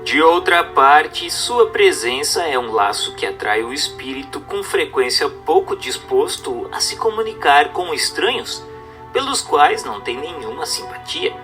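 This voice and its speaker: A man's voice: a little high, sing-song, unhurried, sharp tone, soft background music. A man with a Brazilian accent reading a book aloud as scripted narration, in a formal, didactic manner. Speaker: audiobook narrator.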